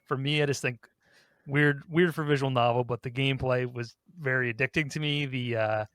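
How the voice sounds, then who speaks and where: A man with a mid-pitched voice in casual conversation, recorded dry with no room sound. He talks like a podcast co-host chatting about games with friends. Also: steady tone, moderate pace, a bit bright, clean tone, no room sound.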